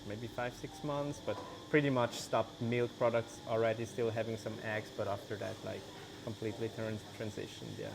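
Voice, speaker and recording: A man, an English speaker, talking casually as an interview guest, loose and relaxed, full of "like" and "you know". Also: lowish voice, normal speed, vocal fry, noisy background. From Switzerland. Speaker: guest, vegan cheese maker.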